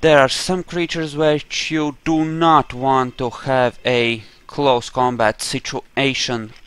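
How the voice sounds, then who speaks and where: A man with a clear, smooth voice commentates on a game he is playing. He speaks at an unhurried pace, thinking aloud as he goes. His manner is offhand and peppered with casual swearing. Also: mid-range voice, level tone, a bit bright. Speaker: gamer narrating his own playthrough.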